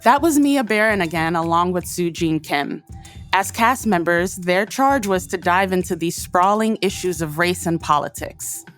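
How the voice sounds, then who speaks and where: A woman's voice: fairly low pitch, lively intonation, normal speed, faint music behind. A woman hosting and narrating from a script, introducing recorded conversations. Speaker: host and narrator.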